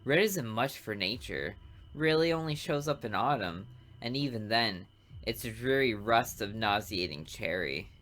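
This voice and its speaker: A man's voice: on the low side, expressive, a bit bright. A man voicing a smug, savoring serial killer. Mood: savoring, proud. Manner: deliberate, savoring, theatrical. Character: smug, artistic serial killer narrating with morbid relish. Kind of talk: dramatic reading of visual novel narration.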